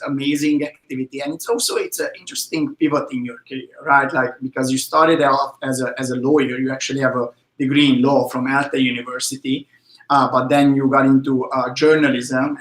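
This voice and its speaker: Man hosting, flat and halting, recorded in an echoey room. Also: mid-range voice. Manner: halting, many uh and restarts. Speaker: event host. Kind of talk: introducing a guest.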